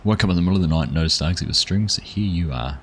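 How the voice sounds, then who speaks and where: A man's voice: low voice, very fast, a little hiss or hum. A man with a New Zealand accent, chatting casually with viewers while streaming a farming game. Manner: relaxed, rambling, chats with viewers. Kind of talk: casual gameplay livestream chat.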